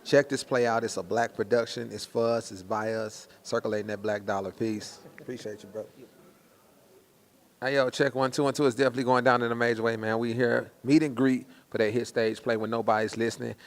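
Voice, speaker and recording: Gravelly American man, slangy event host. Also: medium pitch, steady tone, brisk. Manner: slangy, hyped, conversational. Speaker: interviewer. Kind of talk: casual promotional interview.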